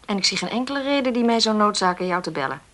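A woman's voice: medium pitch, lively intonation, racing, fairly bright tone, close to an everyday voice. An actress playing an irritated, defiant woman in a Dutch radio play, speaking in a curt, dismissive way. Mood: annoyed, curt. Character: irritated, defiant woman pestered by a phone caller.

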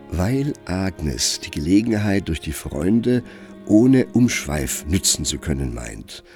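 A man narrating a literary radio play from a script, telling the story in a measured way with expressive emphasis. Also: deep voice.

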